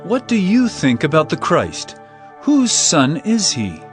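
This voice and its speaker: An actor playing Jesus as a calm, authoritative teacher in a dramatized scripture reading, with measured, solemn delivery. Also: male voice, on the high side, soft background music, exaggerated voice. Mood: earnest.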